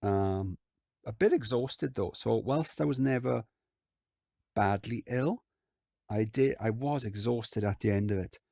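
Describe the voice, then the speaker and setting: A man with a croaky voice, hosting a casual game commentary and rambling with lots of filler words. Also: medium pitch, a little slow, dark tone, no echo, studio quality. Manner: rambling, lots of ums and likes. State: croaky voice from illness. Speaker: gaming channel host. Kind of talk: casual let's-play commentary.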